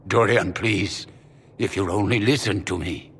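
An actor playing a middle-aged noble father in a video game, grave, formal and regretful, with a husky voice. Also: lowish voice, measured pace, a bit bright, exaggerated voice. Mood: pleading regret. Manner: formal, restrained. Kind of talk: dramatic video game acting. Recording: long hall reverb.

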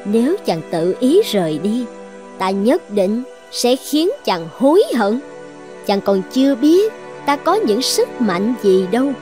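A woman's voice: a little high, big pitch swings, takes it slowly, over music. A woman voicing a possessive, lovesick sorceress princess in a cartoon fairy tale, commanding and coaxing with an edge of menace.

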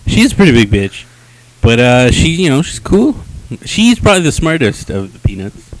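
A man's voice: medium pitch, sing-song, moderate pace, poor audio. A young man hosting a review show in casual, profane chat on a handheld microphone. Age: young adult. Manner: informal, profane. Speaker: comic review show host.

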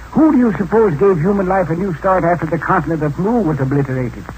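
An actor in 1940s radio acting style playing a mysterious, wise old hermit who talks in a folksy, drawling, philosophical way. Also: male voice, high voice, low-quality recording. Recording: old radio broadcast with faint hiss.

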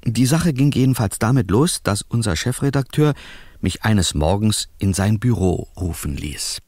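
Deep, wry storytelling reporter. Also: male voice, animated, normal speed, darkish tone, no echo. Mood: amused recounting. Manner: wry, tongue-in-cheek. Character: wry male reporter narrating his detective memoirs. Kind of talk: scripted first-person radio play narration. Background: music.

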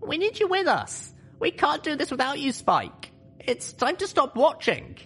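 Guy doing a small girl pony leader from a storybook, super high voice, firm and urgent. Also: huge pitch swings, unhurried, sharp tone, faint music behind, heavily acted. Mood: firm, resolute. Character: small determined female pony leader, first-person heroine.

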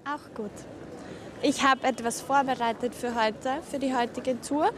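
A woman's voice: sits high, fairly even intonation, unhurried, fairly bright tone, slight background noise. A young woman from Styria, Austria, chatting outdoors as a volunteer tour guide, friendly, with frequent fillers. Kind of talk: casual conversation and interview. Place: outdoors on a city square.

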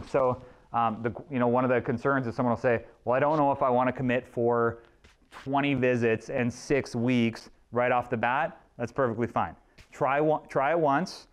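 A man's voice: medium pitch, smooth. A man in his thirties leading a chiropractic workshop in a small clinic room, talking loosely to a seated audience with many fillers and restarts. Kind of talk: informal presentation with audience questions. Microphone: room camera at a distance.

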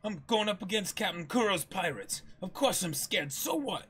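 A man's voice: high voice, big pitch swings, normal speed, a bit bright, husky, pitched above the performer's own voice, full character voice. A man voicing a boastful but scared young pirate captain in a blustering, defensive way, reading a comic aloud.

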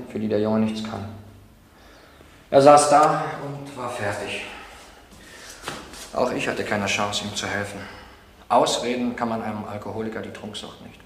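An actor playing a defensive, emotional former drug counsellor in a crime radio drama, speaking fervently and haltingly. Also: male voice, lowish voice, close to an everyday voice. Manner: halting, fervent, pleading for understanding. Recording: echoey room, noisy old recording.

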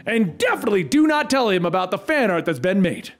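A man voicing a manic, wisecracking antihero from a comic book story in an excitable, teasing, exclamatory way. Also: high voice, fast talker. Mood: whiny sarcastic.

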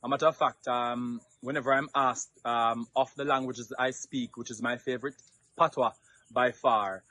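A Jamaican man in his thirties with a bright, forward voice, recorded dry and close. He sounds upbeat and pauses on "um" between thoughts as he introduces himself outdoors among greenery. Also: medium pitch, steady tone, dry recording. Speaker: dance instructor and cultural ambassador. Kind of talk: self-introduction to camera.

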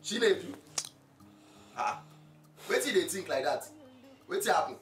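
A man's voice: high voice, very animated, normal speed, faint music behind. A Nigerian man acting in a Pidgin screen drama as a broke, comically dramatic friend who makes excuses in a repetitive, pleading way. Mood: scolding. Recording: echoey, reverberant room.

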